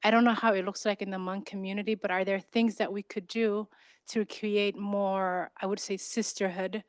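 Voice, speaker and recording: A woman's voice: medium pitch, flat delivery, normal speed, no echo, clean studio sound. Heartfelt woman asking from the audience. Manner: heartfelt and searching. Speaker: audience member and nurse practitioner.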